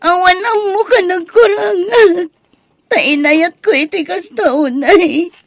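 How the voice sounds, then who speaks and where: A woman's voice: very high-pitched, slow, no echo, full character voice. A woman with an Ilocano accent playing a heartbroken, indignant young jilted bride, wailing melodramatically in a comedy drama.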